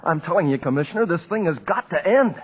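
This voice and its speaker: Nervy male proprietor, insistent and melodramatic, slightly high rough-edged voice, vintage radio drama with dull sound. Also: animated, fast. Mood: agitated, pleading. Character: agitated, pleading, anxious warehouse owner. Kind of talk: 1930s radio drama acting. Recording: muffled 1930s radio recording.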